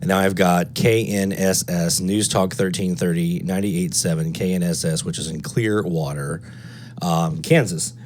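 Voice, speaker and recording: A man with a low voice presents a casual hands-on tutorial. He thinks aloud as he works through each step. A faint hum sits behind his voice. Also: steady tone, normal speed, darkish tone. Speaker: presenter of a radio DXing tutorial. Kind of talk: casual screen-walkthrough tutorial.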